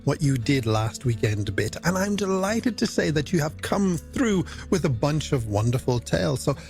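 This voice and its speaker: A man's voice: a little high, big pitch swings, moderate pace, a little mellow. A man presenting a late-night music radio show in a chatty, scripted style, with playful, wordy patter aimed at the listener.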